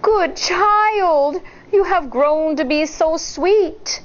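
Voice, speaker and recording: A woman voicing a cunning old wolf who poses as a sweet grandmother, coaxing slowly in a sugary way. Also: very high voice, dull audio, pitched above the performer's own voice, heavily acted. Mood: sweetly sly.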